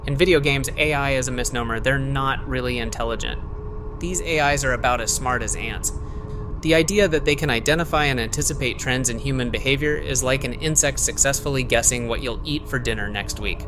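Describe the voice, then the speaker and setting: A man's voice: mid-range voice, a little fast, over music, lightly acted. A man playing an intense, irritable game programmer in an audio drama, terse and incredulous. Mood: dismissive disbelief. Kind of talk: audio drama acting, muttering to himself.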